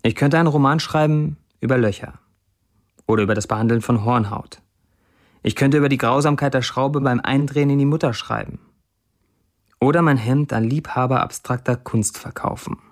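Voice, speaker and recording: A man's voice: mid-range voice, normal speed, close to an everyday voice. An actor playing a wry man of twenty-nine who reads his blunt first-person posts in a dry radio drama monologue. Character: wry 29-year-old Syrian man narrating his blunt online posts.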